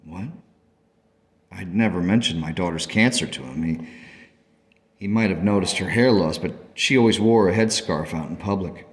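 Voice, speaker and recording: An actor playing a grieving, devoted father who narrates a radio play in a halting, earnest, sorrowful way. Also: sits low, natural voice. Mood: bewildered, suspicious. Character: grieving, devoted father recounting his daughter's illness. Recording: long reverb.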